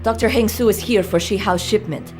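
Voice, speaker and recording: A woman's voice: mid-range voice, fairly quick, a little mellow, soft background music, close to an everyday voice. A woman playing a cold, clinical villain doctor in a video game cutscene. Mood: tense.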